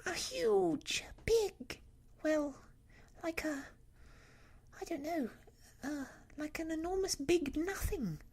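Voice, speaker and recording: A woman's voice: extremely high pitch, lively intonation, slow, vocal fry, a little mellow, pitched above the performer's own voice, exaggerated voice. A woman voicing a small, timid storybook piglet in a breathless, stammering, squealing manner. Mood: flustered, awed.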